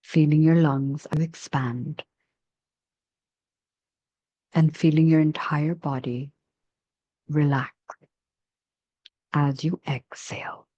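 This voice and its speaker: A woman leading a guided meditation in a soft, soothing, measured way with long pauses between phrases. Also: deep voice, steady tone, dry recording, highs cut off.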